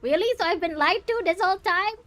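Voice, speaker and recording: A woman's voice: very high-pitched, quick pace, fairly bright tone. A woman from Malaysia with a Malaysian accent, chatting casually while playing a game and reading its lines aloud. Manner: chatty, rambling, laughs easily. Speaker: streamer. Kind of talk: casual gameplay commentary and reading dialogue aloud.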